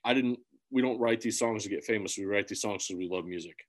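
A man's voice: fairly low pitch, fast. A man from central Illinois, a musician guest talking in an earnest, rambling way with many restarts. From central Illinois, USA. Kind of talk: casual interview chat.

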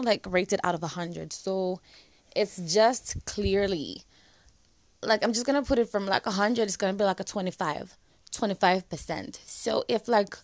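A woman's voice: on the low side, no room sound. A young woman with a slightly cracked voice from a flu and dry cough, chatting casually and giving advice with lots of "like"s. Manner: chatty, filler-heavy, with many "like"s. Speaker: vlogger. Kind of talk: casual advice talk to camera.

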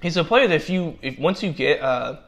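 A man's voice: fairly high pitch, expressive, fairly quick, a bit bright. A young man giving casual spoken football draft commentary, with many fillers and restarts and a voice that is giving out. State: losing his voice. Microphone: personal microphone.

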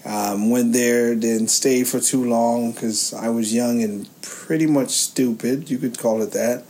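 A man's voice: mid-range voice, steady tone, unhurried, warm tone. A man in his thirties from Haiti co-hosting a podcast, goofy and jokey, rambling through his story. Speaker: podcast co-host. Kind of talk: casual chat.

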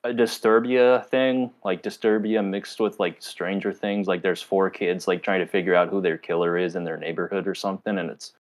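A young man with a fairly low voice, chatting casually as a podcast co-host. His delivery is low-key and flat, close to a monotone, and he rarely raises his energy. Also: normal speed, few pauses. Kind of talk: casual chat.

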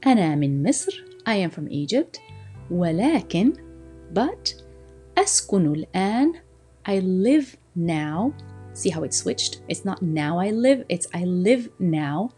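A woman teaching a language lesson, explaining and slowly repeating phrases for learners to copy. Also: on the low side, sing-song, mellow, soft background music. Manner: slow, deliberate repetition of phrases for learners; switches between explaining and modelling phrases.

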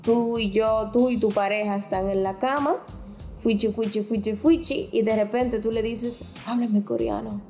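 A woman's voice: a little high, steady tone, normal speed, dark tone, reverberant, soft background music. A woman co-hosting a podcast who explains topics frankly in casual chat, with many restarts. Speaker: podcast co-host.

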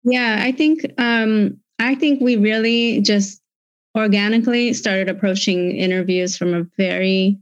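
A woman's voice: medium pitch, steady tone, a little slow, no echo. A woman born in Ethiopia and raised in the US, a recruiter, talking in an upbeat, friendly way on dull lo-fi audio. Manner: upbeat, friendly, some ums. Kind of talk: casual interview talk.

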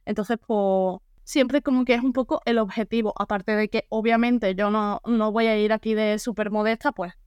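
A woman's voice: medium pitch, fairly even intonation, moderate pace, hardly any pauses, darkish tone, smooth, no echo, studio quality. A young woman with a southern Spanish accent from Ceuta, a podcast guest talking frankly and casually, full of fillers. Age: young adult. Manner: frank, talkative, lots of fillers.

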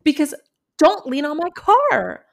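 Animated young woman telling a story. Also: sits high, unhurried, gravelly. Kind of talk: casual chat.

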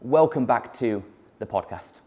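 A man with a mild Yorkshire accent hosts an interview podcast in a middle-pitched voice. He is friendly and affirming, and he stumbles into restarts as he frames his questions. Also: animated, measured pace, dull audio, very noisy. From York, England. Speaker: podcast host.

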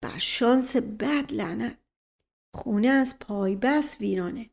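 A woman's voice: fairly high pitch, natural voice. A woman voicing a flippant, dry-witted male aristocrat in an audiobook, with a wry, teasing manner. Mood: hushed dismay. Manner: wry, teasing, catchphrase-prone.

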